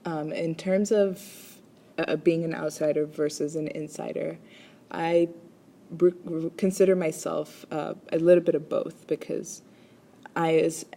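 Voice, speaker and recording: Low, gravelly woman. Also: steady tone, measured pace, warm tone. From Rwanda. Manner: hesitant, many ums and restarts. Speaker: chiropractic student. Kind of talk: presenting a class assignment.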